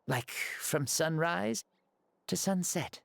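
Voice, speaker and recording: A woman's voice: fairly low pitch, slow, gravelly. A woman voicing a cheerful, boastful ancient male warrior spirit in a boy's body, playful and theatrical, in a video game. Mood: breezy, amused.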